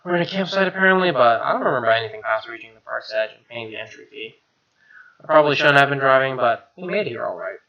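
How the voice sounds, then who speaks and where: A man's voice: mid-range voice, lively intonation, fast talker, lo-fi sound, crowd noise. A man streamer reading a first-person visual novel aloud as narration. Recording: music behind the voice.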